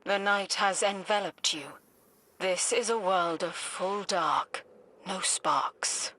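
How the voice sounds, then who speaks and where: A woman's voice: mid-range voice, takes it slowly, bright, forceful tone. An actress voicing an enigmatic, solemn female oracle in a video game, cryptic and portentous. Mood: ominous, calm. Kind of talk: video game cutscene voice acting.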